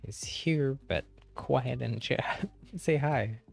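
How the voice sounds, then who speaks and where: A man chatting casually on a game livestream, thinking aloud in a halting way. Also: mid-range voice, creaky, soft background music. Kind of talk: casual live gaming chat.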